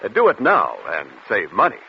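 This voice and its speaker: A man's voice: on the low side, huge pitch swings, a little fast. A man working as a radio announcer, reading a sponsor advert in 1940s broadcast style. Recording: dull, lightly noisy vintage radio recording.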